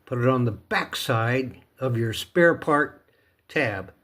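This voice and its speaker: Slow-talking, folksy man. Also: mid-range voice, animated, a bit bright. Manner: folksy, playful, talks himself through steps. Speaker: host of a DIY repair video. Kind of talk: casual hands-on tutorial narration.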